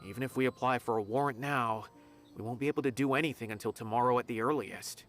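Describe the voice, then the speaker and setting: A young adult man voicing an earnest game character who is quick to protest, speaking over soft game music. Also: medium pitch, lightly acted. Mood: cautious.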